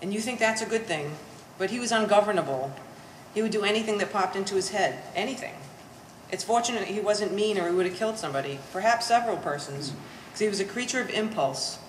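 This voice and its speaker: A woman's voice: mid-range voice, fast, natural voice. A woman playing a bitter, sardonic daughter in a stage play, speaking in pompous, precise big words. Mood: dry, disapproving. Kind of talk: one-act stage play performance. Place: art gallery, live staged reading. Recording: reverberant gallery hall, faint hiss.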